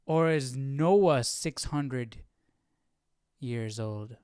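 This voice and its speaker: Clear-voiced casual man thinking aloud. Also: medium pitch, very slow, a little mellow, no echo, studio quality. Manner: informal, slangy, thinking aloud, questioning. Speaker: live-stream host. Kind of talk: casual study-along chat and reading aloud.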